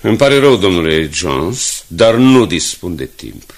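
An actor playing a proud, principled newspaper director in a radio drama, speaking firmly and formally. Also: male voice, sits low, sing-song, a little hiss or hum. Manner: firm, dignified, formal.

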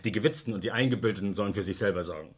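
Husky, wily bookmaker. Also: male voice, fairly low pitch, rapid-fire. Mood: wry, amused. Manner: chuckling, glib, informal. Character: wily, jovial male bookmaker, smooth-talking and unapologetic. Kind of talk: German radio crime drama acting.

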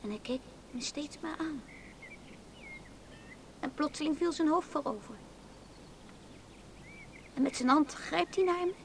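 An actress in a radio play, playing a dreamy, naive, stubborn woman who speaks of her gentle lover. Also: high-pitched, animated, measured pace, vocal fry, pauses often, full character voice. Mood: wistful. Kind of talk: Dutch radio play acting. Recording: noisy old radio drama recording.

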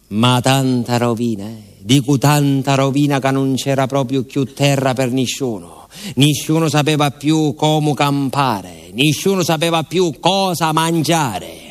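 A man's voice: medium pitch, natural voice. An actor playing a garrulous village postman who recounts his youth in a southern Italian accent, with folksy asides, as a theatrical radio monologue. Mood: rueful, folksy. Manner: colloquial, folksy asides and repeated interjections.

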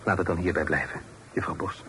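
A man playing a well-bred, earnest young gentleman in a period radio drama, formal and prone to stammering when flustered. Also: low voice, fairly even intonation, brisk. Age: young adult. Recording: noisy old radio drama recording.

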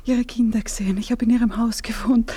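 An actress playing a troubled woman resolved to leave, speaking in a hushed, halting, breathy way. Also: on the high side, fairly even intonation. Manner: hushed, halting, often near a whisper. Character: troubled young woman determined to leave her lover. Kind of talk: radio play acting.

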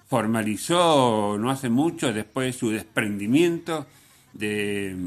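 A man's voice: mid-range voice, fairly bright tone. A man with an Argentine accent hosting a radio show, talking in a blunt, informal, slangy way between opinion and rock introductions. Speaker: radio host.

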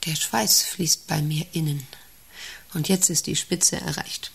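An actress playing a middle-aged, self-important and intrusive company director in a radio play, rambling and domineering with a creaky voice. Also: female voice, deep voice, steady tone, a little slow, a little hiss or hum. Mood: self-satisfied, rambling. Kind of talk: radio play monologue and dialogue.

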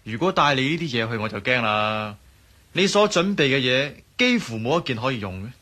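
An actor playing a cocky French viscount and desert guide in a Cantonese radio drama, speaking Hong Kong Cantonese with a blunt, self-assured manner. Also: male voice, medium pitch, sing-song, brisk, bright, forceful tone. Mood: blunt, scolding.

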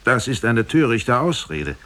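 A man's voice: mid-range voice, big pitch swings, unhurried, pitched above the performer's own voice. A man voicing an enraged, menacing demon who accuses and commands in an old radio play. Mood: scornful. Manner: shouting, accusing.

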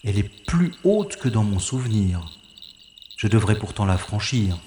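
Man playing a slow, deliberate space agent, echoing. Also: lowish voice, big pitch swings, dark tone. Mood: focused, wary. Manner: deliberate, narrating his actions to himself. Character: male space secret agent on a covert mission. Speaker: actor in an audio drama. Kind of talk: audio drama monologue. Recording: roomy reverberant sound with faint noise.

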